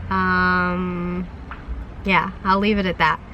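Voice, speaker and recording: A young woman in her twenties with a hoarse voice from a flu, rambling heartfelt to camera in a sit-down vlog, full of ums and restarts. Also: fairly low pitch, level tone, slow pace, lo-fi sound, slight background noise. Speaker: vlogger. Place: parked van.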